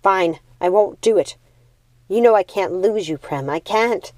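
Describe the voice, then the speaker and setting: A woman's voice: medium pitch, animated, unhurried, a little mellow, dry recording, lightly acted. A woman voicing an earnest, devoted young witch in a storybook, pleading and insistent about duty. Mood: resigned, pleading.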